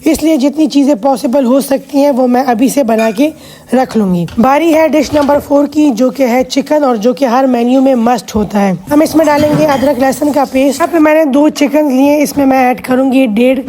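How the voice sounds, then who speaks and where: A woman hosting a cooking vlog with a hoarse voice, explaining each step patiently with chatty asides. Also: high voice, normal speed, mellow, slight background noise. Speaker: cooking channel host.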